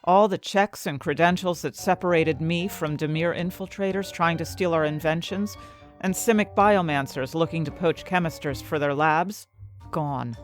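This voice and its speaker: A woman's voice: low-pitched, moderate pace, lightly acted. A woman playing an ambitious, indignant fantasy inventor, narrating her own story expressively and articulately in an audio drama. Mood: wry, bitter. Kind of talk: first-person dramatic audio fiction narration. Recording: music bed behind the voice.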